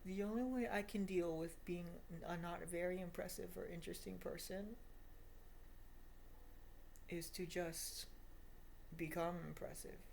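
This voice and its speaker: A woman's voice: fairly low pitch, steady tone, measured pace, gravelly, pauses often, a little mellow, slight background noise. A woman of twenty giving a confessional video diary monologue in an emphatic, repetitive, self-deprecating way. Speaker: vlogger.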